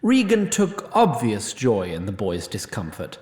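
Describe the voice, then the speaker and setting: A man's voice: on the low side, huge pitch swings, a little slow, darkish tone. Wry male narrator with roomy echo. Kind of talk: scripted storybook-like narration of a fantasy audio drama.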